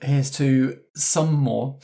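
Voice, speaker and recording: A man's voice: medium pitch, very slow, gravelly, mellow. A man speaking as game master and host of a role-playing show. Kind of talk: relaxed post-game discussion and scripted credits.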